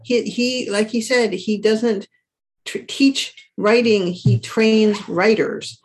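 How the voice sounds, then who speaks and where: A woman's voice: mid-range voice, steady tone, takes it slowly, low-quality recording. A woman in a casual panel conversation, explaining things and correcting herself as she goes.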